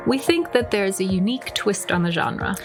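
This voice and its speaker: A woman from the United States reads a podcast promo, upbeat and announcer-like. Her voice sits at a middle pitch. A clear music bed plays behind her throughout. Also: very animated, normal speed. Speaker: podcast co-host. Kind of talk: scripted promo read.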